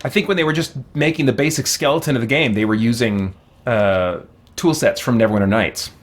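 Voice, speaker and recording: A man's voice: mid-range voice, a little fast. A man giving casual gameplay commentary as a streamer, rambling and enthusiastic as he thinks aloud. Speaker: game streamer.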